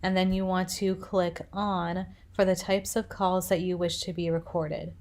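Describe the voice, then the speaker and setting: A woman presenting a software tutorial, narrating instructions step by step. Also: fairly low pitch, little pitch movement, measured pace.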